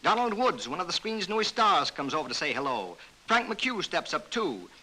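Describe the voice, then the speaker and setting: A man's voice: high voice, sing-song, moderate pace, bright, forceful tone, rough recording. An American man narrating a 1930s newsreel from a script in a jaunty, wisecracking manner, on a vintage soundtrack.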